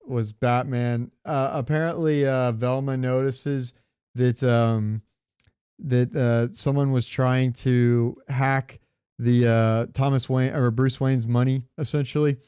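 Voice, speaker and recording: A man's voice: medium pitch, steady tone, takes it slowly, warm tone, smooth, no room sound, clean studio sound. A man co-hosting a podcast, chatting informally and with strong opinions about pop culture, swearing now and then. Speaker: podcast co-host. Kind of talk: casual pop-culture chat.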